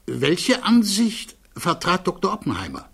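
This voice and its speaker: Husky, courteous male lawyer. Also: sits high, huge pitch swings, a little slow, a bit bright, heavily acted. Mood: probing. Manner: courteous, formal, methodical questioning. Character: probing male defense lawyer at a hearing. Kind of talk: radio play dialogue.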